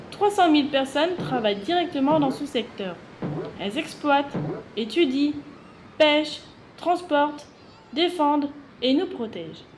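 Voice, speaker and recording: A woman's voice: high voice, noisy background. A woman reading scripted educational narration for children, explaining things and addressing the viewers directly.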